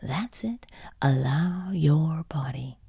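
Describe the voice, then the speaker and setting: A woman leading a guided sleep meditation in a soothing, hushed and breathy voice, leaving long pauses. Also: low voice, lively intonation, no echo, clean studio sound. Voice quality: breathy, husky.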